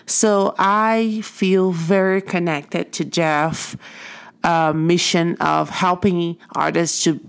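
Slow, gravelly woman with Portuguese accent. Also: lowish voice, steady tone. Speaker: artist and art teacher. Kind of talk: casual motivational talk.

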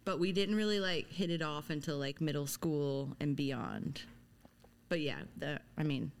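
Podcast guest: young woman from Louisiana, faint Southern lilt, lower voice, relaxed and wry. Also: a little slow, creaky. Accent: mild Southern US. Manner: laid-back and self-deprecating, with filler likes. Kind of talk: casual chat.

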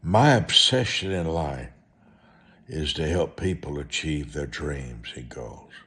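An American man preaching a motivational sermon and telling stories in a deliberate, emphatic way, repeating short phrases. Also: extremely low pitch, expressive, fairly bright tone.

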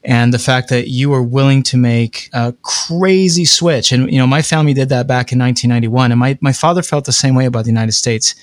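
A man's voice: medium pitch, steady tone, brisk, hardly any pauses, dry recording, studio quality. A man from Brazil hosting a podcast, chatting upbeat and casually with his guests. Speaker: podcast host.

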